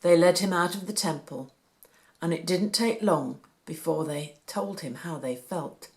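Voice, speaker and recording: A woman reading a Bible story aloud for children, with a deliberate, animated storytelling delivery. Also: on the low side.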